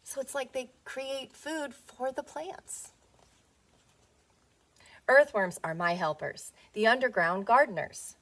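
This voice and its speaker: A woman teacher reading a picture book aloud to young children, playful and expressive, often putting questions to them. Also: fairly high pitch, takes it slowly, pauses often.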